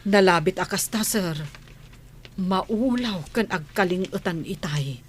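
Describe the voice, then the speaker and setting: A woman's voice: fairly low pitch, animated, measured pace. A woman playing a dutiful, worried nanny in a radio drama, deferential, with an Ilocano accent. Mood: respectful, anxious. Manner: deferential, anxious. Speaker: household maid. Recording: noisy radio drama recording.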